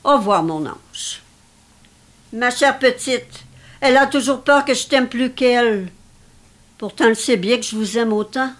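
A woman's voice: on the high side, unhurried, pauses often, close to an everyday voice. An actress playing an elderly, possessive mother, theatrical and self-pitying, in a dramatic script reading. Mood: tender, plaintive. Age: older. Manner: theatrical, reproachful, martyr-like.

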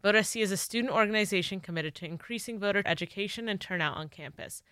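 Smooth-voiced young woman reporter. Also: medium pitch, fast, a bit bright, dry recording, studio quality. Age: young adult. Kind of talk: scripted news report.

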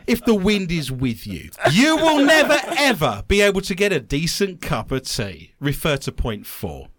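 A man from London with an English accent, hosting a radio talk show in a chatty, animated way and laughing often. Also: fairly high pitch, moderate pace, dry recording, clean studio sound. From London, England.

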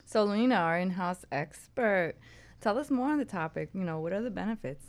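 A woman's voice: medium pitch, expressive, a little fast. A woman co-hosting a conversational radio talk show. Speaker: co-host.

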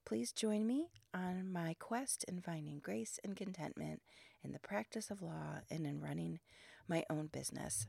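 A woman podcast host from the Chicago suburbs, grandmother age, chatting casually in a froggy early-morning voice, not fully awake, rambling with fillers and soft breathy trailing words. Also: lowish voice, measured pace, no room sound. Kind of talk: casual solo podcast monologue.

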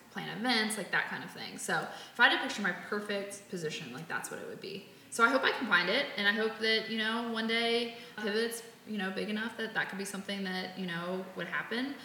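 A woman's voice: mid-range voice, fairly quick, hardly any pauses, bright, forceful tone, slight background noise. A woman in her late thirties, chatting casually in an interview, full of fillers and restarts. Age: 30s. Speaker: interviewee, community volunteer. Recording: roomy echo.

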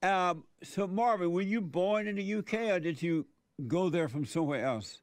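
Male podcast host, fairly high voice, dry sound, friendly and easygoing. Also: normal speed, no room sound. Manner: easygoing, enthusiastic, brief reactions. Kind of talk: interview hosting.

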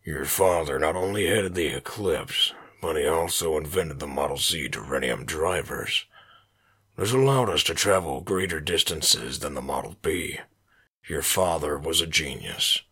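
An actor playing an authoritative space agency officer running a formal interview in an audio drama, in a husky voice. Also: male voice, rumbling low, lively intonation, a little slow, bright, forceful tone, full character voice. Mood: formal, probing.

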